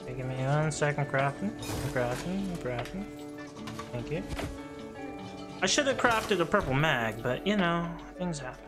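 A man's voice: a little high, animated, normal speed. Goofy young man streaming a game. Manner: goofy, joking, talks to himself while playing. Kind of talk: casual gaming banter. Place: home room, webcam streaming setup. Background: game sounds and music.

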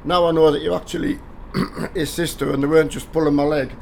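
An older man from the north of England, hoarse from a cold, chatting casually on a game live stream and greeting viewers by name through a headset mic. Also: fairly high pitch, fairly even intonation, a little fast, a little mellow, slight background noise. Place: home gaming room with driving rig.

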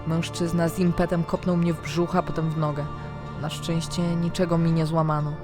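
A woman narrating a scripted fantasy diary in the first person, with dramatic, expressive storytelling. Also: fairly low pitch, normal speed. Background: background music.

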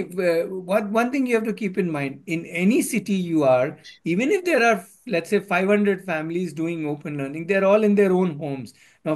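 A man, hosting an online meetup in an earnest, repetitive way and mixing in Hindi phrases, speaking through a headset from a room at home. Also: fairly high pitch. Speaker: meetup host. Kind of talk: casual video-call discussion. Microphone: headset on a video call.

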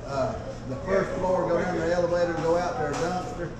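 A man's voice: a little high, a little fast, a loud crowd. A man giving a plain-spoken, matter-of-fact tour of a building under construction. He is filming himself with a 360 camera on a pole as he walks. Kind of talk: casual walking site tour. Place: indoor building under construction, unfinished floor. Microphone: 360 camera on a pole held at arm's length. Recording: echoey bare room, loud site noise, mic at a distance.

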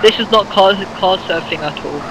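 A man's voice: high-pitched, normal speed, noisy background. A man chatting casually with other players on a gaming voice chat. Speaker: gamer on group voice chat. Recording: voice chat under loud music. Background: loud music, game gunfire.

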